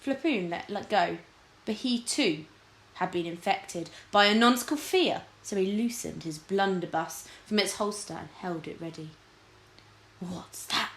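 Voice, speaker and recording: A woman's voice: mid-range voice, moderate pace. A woman narrating a children's storybook expressively, like a teacher reading aloud. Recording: echoey room.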